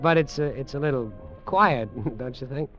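An actor playing a bitter, nervous hard drinker in an old-time radio drama, with a hesitant, halting delivery. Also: male voice, mid-range voice, lightly acted. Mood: awkward, hesitant. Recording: muffled old radio recording, faint music.

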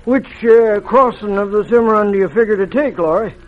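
A man playing a high-voiced folksy cowboy foreman. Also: brisk, lightly acted. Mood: resigned. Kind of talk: old radio western acting. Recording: dull, hissy old radio recording.

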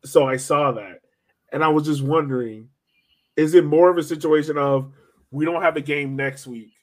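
A man's voice: fairly high pitch, moderate pace. A man in a casual sports podcast discussion, thinking aloud and posing questions in a tentative way. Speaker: soccer podcast co-host.

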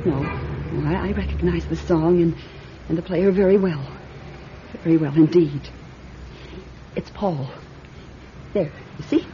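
An actress playing a fragile, grieving widow in classic radio drama, hesitant and haunted, turning insistent. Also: female voice, lowish voice, unhurried, long pauses, lightly acted. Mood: wistful, defensive. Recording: dull, noisy old radio recording.

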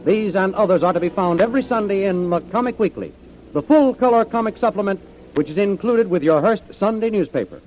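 A man's voice: sits high, expressive, a little fast, a little mellow, slight background noise. A male announcer reading a scripted narration and promotion in old-time radio style. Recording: dull old radio recording. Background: music.

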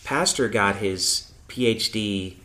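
A woman's voice: very deep voice, slow pace, a bit bright. Host, woman, neutral American accent, talkative and giggly, roomy echo. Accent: neutral American, hard to place. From Chicago. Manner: chatty, laughs often, acts out voices. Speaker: podcast host. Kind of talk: casual church interview chat.

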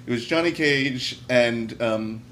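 Mid-pitched man chatting, faint hum behind. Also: slow pace, a bit bright, low-quality recording. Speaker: podcast panelist. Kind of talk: casual chat.

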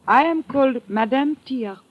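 An actress playing an elegant, mysterious madame in a mid-century radio drama, with formal, precise, commanding speech. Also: female voice, high voice, normal speed, slight background noise, close to an everyday voice. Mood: cold menace. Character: imperious, elegant mysterious dragon-lady madame. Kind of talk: 1940s-50s radio drama acting. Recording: dull, muffled old radio recording.

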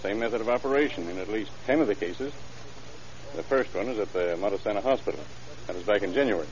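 An actor playing a matter-of-fact male police detective in an old radio drama, laying out facts in a dry, deliberate way. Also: lowish voice, natural voice. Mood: businesslike. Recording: muffled, hissy old radio recording.

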